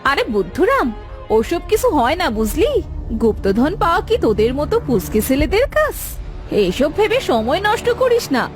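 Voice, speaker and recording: A woman playing a teasing, scolding village aunt in a cartoon, nagging and mocking foolish youngsters in a drawn-out way. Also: high-pitched, big pitch swings, faint music behind.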